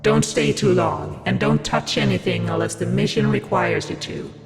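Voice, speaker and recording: Gravelly, echoing male God. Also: fairly low pitch, wildly swooping pitch, moderate pace, exaggerated voice. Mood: commanding, teasing. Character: God, a sly male deity sending mortals on a mission. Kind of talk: audio drama acting. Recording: long cavernous reverb over loud music.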